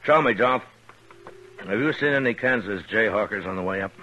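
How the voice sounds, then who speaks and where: An actor playing a calm, tough, fair-minded American frontier marshal in 1950s western radio drama, speaking in a measured, plain way with thoughtful pauses. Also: male voice, fairly low pitch, lo-fi sound, soft background music. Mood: probing, calm. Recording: noisy vintage 1950s radio recording.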